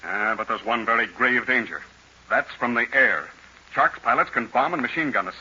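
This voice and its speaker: An actor playing a steady, decisive American aviator leader in a radio serial, urgent and businesslike. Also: male voice, mid-range voice, moderate pace, slightly rough, heavily acted. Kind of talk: 1940s radio acting. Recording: muffled old radio recording.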